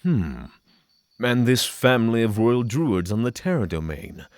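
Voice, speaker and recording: A man's voice: medium pitch, sing-song, darkish tone, no room sound, clean studio sound. A man playing an earnest, cautious, reflective spiritual pilgrim in a fantasy audio drama, formal and hesitant. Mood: reserved, earnest. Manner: formal, hesitant, thoughtful pauses.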